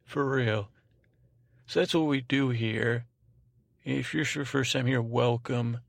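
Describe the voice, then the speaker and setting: A man with a gravelly, creaky voice and an average pitch rambles through an improvised bedtime monologue. He halts, repeats himself and lets thoughts trail off, recorded very dry with no room sound. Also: steady tone, no echo. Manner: meandering, halting, repetitive, trailing off. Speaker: podcast host.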